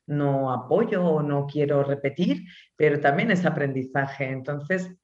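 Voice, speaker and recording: Woman, Spanish accent, author guest, thoughtful, hesitant pauses, roomy echo, dull sound. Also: sits low, a little mellow. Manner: slow, thoughtful, with many pauses and fillers. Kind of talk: reflective interview answers.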